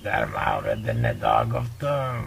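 An actor playing a sly, wheedling village news-carrier in a radio drama, with a boastful, theatrical storytelling manner and a gravelly voice. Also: male voice, rumbling low, wildly swooping pitch, slight background noise, heavily acted. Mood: sly, teasing. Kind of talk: radio drama acting in dialogue.

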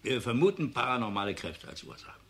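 A disillusioned professor in a radio play. His mid-pitched voice swings widely as he muses, sardonic and weary, halting and then emphatic. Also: big pitch swings, a bit bright. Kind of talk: German radio drama acting.

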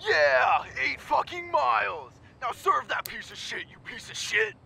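A man's voice: extremely high pitch, expressive, a little slow, gravelly, sharp tone, a little hiss or hum, heavily acted. A man voicing a manic, bombastic, foul-mouthed sports announcer in an over-the-top cartoon parody commentary. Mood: manic, gloating. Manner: profane, bombastic, hyped.

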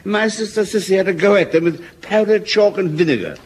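A man doing a gentle fussy old soldier, high voice, soft. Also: brisk, slight background noise, lightly acted. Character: gentle, doddery elderly male soldier.

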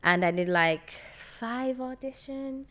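A woman's voice: a little high, animated, slow, a bit bright. A young adult woman with a Jamaican accent, telling stories casually and expressively as a podcast guest on a close mic. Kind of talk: casual conversational storytelling. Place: bright indoor open-plan room on a sofa. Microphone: close podcast mic on boom arm.

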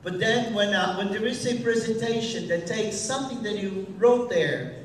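Brief: Brazilian man hosting on stage, halting and full of restarts, heard from the back of a big echoey hall. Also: high voice, recorded from a distance, slight background noise. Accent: Brazilian Portuguese. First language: Portuguese. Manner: hesitant, many restarts and fillers. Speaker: host of a teacher training event. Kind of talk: on-stage talk. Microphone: far audience camera.

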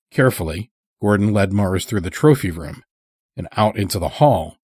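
Guy reading a dark story, low and husky, dramatic delivery. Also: no echo, studio quality. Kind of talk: dramatic scripted fiction narration.

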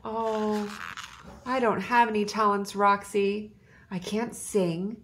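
A woman's voice: mid-range voice, level tone, slow, a bit bright, a little hiss or hum. A woman voicing a shy, self-doubting young male dinosaur-unicorn in a downcast, plain-spoken way for a storybook. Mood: dejected.

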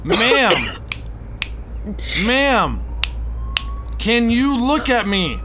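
An actor playing an emergency first responder in an audio drama, calling out loudly and insistently. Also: male voice, extremely high pitch, animated, extremely slow, heavily acted. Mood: urgent. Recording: noisy emergency scene ambience.

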